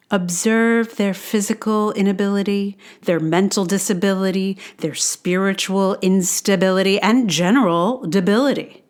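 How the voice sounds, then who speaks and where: Woman playing a pompous, prim lady orator, pitch lifted. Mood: scornful, indignant. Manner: pompous, emphatic, declamatory. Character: prim, self-assured 1910s anti-suffrage woman orator. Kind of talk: theatrical satirical speech-making monologue.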